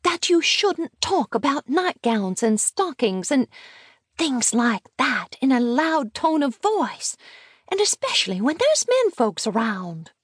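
A woman voicing a brisk, strict country mother in a storybook, plain-spoken, admonishing and sardonic. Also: fairly high pitch, expressive, no echo, clean studio sound, voice pushed up. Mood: scolding.

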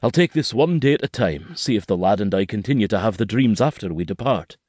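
A tender father, as a male reader puts him on, gentle and reassuring, his low voice rising and falling in lively swings. The sound is close and dry, with no room around it. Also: a little fast, husky, dry recording. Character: Highland father, tender and steady.

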